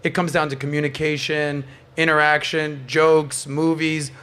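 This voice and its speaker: Higher-pitched male podcast host, bantering. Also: little pitch movement, unhurried, bright, forceful tone, smooth. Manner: bantering, swears freely. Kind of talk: opinionated casual panel chat.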